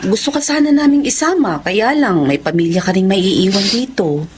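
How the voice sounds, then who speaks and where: A woman's voice: mid-range voice, normal speed, close to an everyday voice. A woman playing a caring, easily panicked young mother and employer in a Filipino TV melodrama, with a Filipino accent. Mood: gentle, grateful. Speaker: actor in a drama. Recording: clearly noisy location sound.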